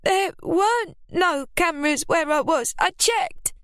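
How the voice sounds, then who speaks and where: A woman reading an audiobook, voicing a brash, self-pitying woman who blusters and quickly loses her temper. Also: very high-pitched, lively intonation, dry recording, clean studio sound, pitched above the performer's own voice. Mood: defensive. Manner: whiny, defensive, blustering.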